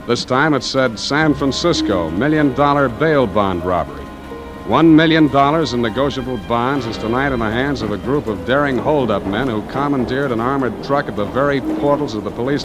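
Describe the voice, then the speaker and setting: An actor playing a wry, deadpan private detective in a 1940s radio noir, narrating his case and trading clipped wisecracks. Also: medium pitch, close to an everyday voice. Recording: vintage radio recording with music.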